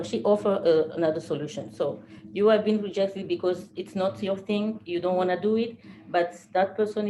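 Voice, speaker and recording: A woman's voice: lowish voice, mellow. Earnest woman motivational speaker, noisy room. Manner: earnest, repeats phrases for emphasis. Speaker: presenter at a business team training. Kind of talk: motivational talk to a group.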